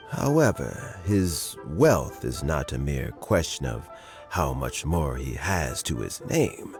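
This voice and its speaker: An actor playing a wise elderly spymaster in a game, giving calm, measured advice. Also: male voice, sits low, gravelly, exaggerated voice. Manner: measured, advising, gently paced. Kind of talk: game voice acting. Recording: game audio with faint music. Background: faint music.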